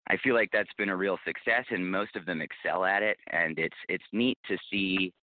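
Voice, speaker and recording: A man's voice: low-pitched, moderate pace, no room sound. A man giving articulate, reflective, conversational answers as a podcast guest. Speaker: podcast guest, podcast creator and bookstore owner. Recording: thin phone-like line.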